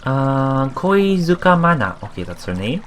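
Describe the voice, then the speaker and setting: A man hosting a let's play, reading game text aloud and adding halting, musing casual commentary, with water sounds behind him. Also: medium pitch, sing-song, slight background noise.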